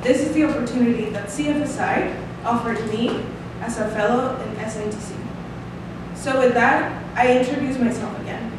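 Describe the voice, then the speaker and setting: A young woman from Costa Rica speaks English with a Costa Rican Spanish accent. She reads a prepared speech earnestly, her voice a touch high. She sounds distant from the mic in a very echoey room with a steady hiss underneath. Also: moderate pace. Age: 20s. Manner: earnest, reading aloud with hand gestures, light laughs. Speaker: student speaker. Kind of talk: prepared showcase speech read from paper. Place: small room, standing at a lectern. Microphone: stand mic at some distance.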